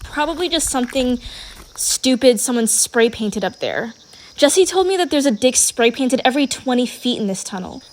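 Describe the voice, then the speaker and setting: A woman's voice: a little high, lively intonation, normal speed, natural voice. A woman playing a reluctant, sceptical teenage girl in a horror audio play. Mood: annoyed, sceptical. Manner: complaining, skeptical.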